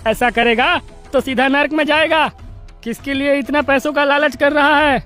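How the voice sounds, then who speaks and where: Indignant cartoon villager, very high voice, reproachful, emphatic, faint music. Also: female voice, fairly even intonation, moderate pace, a bit bright. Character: indignant villager scolding a cruel man. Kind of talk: Hindi cartoon story voice acting.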